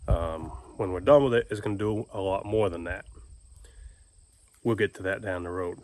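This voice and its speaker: Low-pitched, folksy man explaining casually. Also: normal speed, darkish tone. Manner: folksy, plain-spoken, informal. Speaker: homestead video host and ham radio operator.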